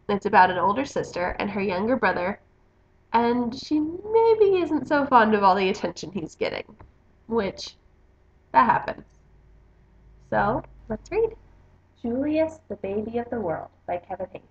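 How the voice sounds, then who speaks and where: A woman's voice: medium pitch, expressive, normal speed. A woman book vlogger reading a picture book aloud in a casual, chatty style. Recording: slightly dull, roomy home recording.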